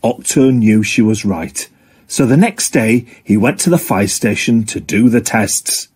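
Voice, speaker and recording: Man, children's story narrator, lively intonation, deliberate, mid pitch, slightly husky. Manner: expressive, deliberate.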